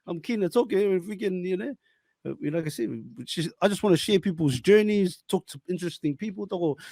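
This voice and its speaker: A young man hosting a casual podcast interview, slangy, animated and quick to laugh. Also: high-pitched, gravelly, dry recording. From New Zealand. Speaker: podcast host.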